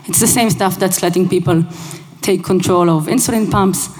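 Woman speaker, Hebrew first language, lowish voice, brisk casual delivery, long hall reverb. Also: darkish tone. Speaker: conference speaker. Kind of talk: conference talk. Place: large conference hall.

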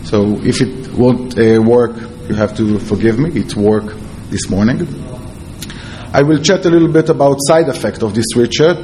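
A man with an Israeli accent giving a conference presentation as a security researcher, in halting, non-fluent English. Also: mid-range voice, mellow, slight background noise. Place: conference talk before an audience.

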